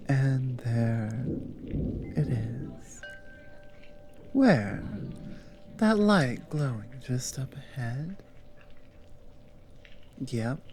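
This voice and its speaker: A man playing a resolute, remorseful rebel guide in a dramatic audio roleplay, speaking in a hushed, reassuring, deliberate way. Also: medium pitch. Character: resolute, remorseful male rebel guiding a newcomer.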